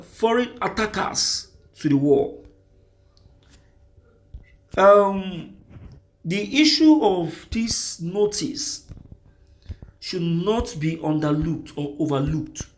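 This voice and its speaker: A man with a Nigerian accent reading out news and giving impassioned commentary, repeating phrases for emphasis. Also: sits high.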